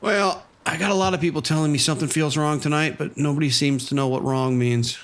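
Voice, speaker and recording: An actor playing a patient male police officer, questioning an informant in a measured, skeptical way in an audio drama. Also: on the high side, steady tone, lightly acted.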